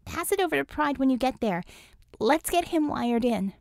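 A woman voicing an energetic, friendly superheroine teammate in an audiobook, chatty and informal. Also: high-pitched, moderate pace, gravelly, no echo, clean studio sound, pitched above the performer's own voice. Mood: warm.

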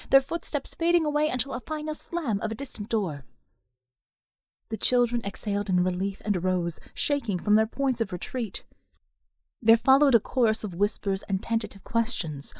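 Female audiobook narrator, higher register, scripted fiction, very dry recording. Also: darkish tone, no echo.

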